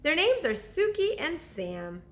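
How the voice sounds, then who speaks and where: A woman voicing an excitable, impulsive young girl adventurer in a children's storybook, with eager exclamations. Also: sits high, a little slow, voice pushed up, exaggerated voice. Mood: eager, excited. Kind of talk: children's storybook read-aloud dialogue.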